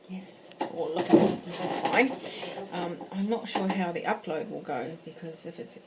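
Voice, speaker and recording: A woman's voice: lowish voice, moderate pace, heavy background noise. A woman teaching a live painting class from her home, chatting casually as she works and thinking aloud with fillers and restarts. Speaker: art teacher. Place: home in a French village.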